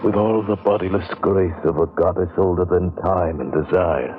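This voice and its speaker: Man acting haunted earnest narrator, deep voice, measured and halting, old radio drama, muffled recording. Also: steady tone, music in the background, close to an everyday voice. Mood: brooding, entranced. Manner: measured, brooding, halting delivery. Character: haunted, earnest male narrator resolved to destroy a pagan grove. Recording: dull, band-limited vintage radio recording.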